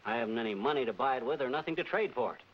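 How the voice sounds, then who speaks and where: Casting: man as gullible, folksy middle-aged husband, medium pitch, bouncy intonation, vintage comedy film audio. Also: expressive, rapid-fire, close to an everyday voice. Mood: folksy, earnest. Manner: folksy, wisecracking. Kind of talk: 1940s radio-style film comedy acting. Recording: muffled, hissy 1940s film soundtrack.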